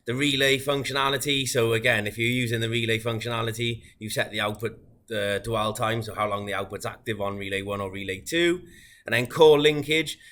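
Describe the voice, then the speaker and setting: Bright, forceful man demonstrating tech. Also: medium pitch, steady tone, a little fast. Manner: methodical, explanatory, informal. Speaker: product demonstrator. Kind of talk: unscripted software walkthrough tutorial.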